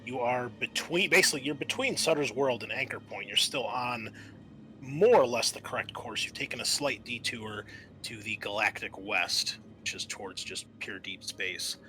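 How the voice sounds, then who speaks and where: Bright-voiced man running a tabletop game. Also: mid-range voice, a little hiss or hum, low-quality recording. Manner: halting, conversational. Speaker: game master. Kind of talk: improvised tabletop game narration.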